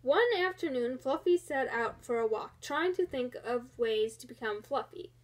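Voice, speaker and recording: A woman reading a children's picture book aloud in an expressive storytelling style. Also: high-pitched, normal speed. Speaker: storybook reader.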